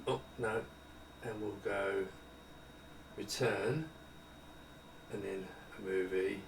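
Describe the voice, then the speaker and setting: Man, roomy distant recording, gravelly voice, casual product demo, rambling, thinking aloud. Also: on the low side, slow pace, slight background noise. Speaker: product demonstrator. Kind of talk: casual off-the-cuff tech demo. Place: indoor room with retro computers. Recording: roomy echo, distant mic, some music.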